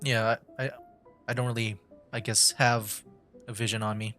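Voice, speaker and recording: A man's voice: on the low side, flat delivery, normal speed, bright, forceful tone. A young man livestreaming a video game, giving casual commentary in hesitant, trailing phrases. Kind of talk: casual game commentary, reading dialogue choices aloud. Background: game music.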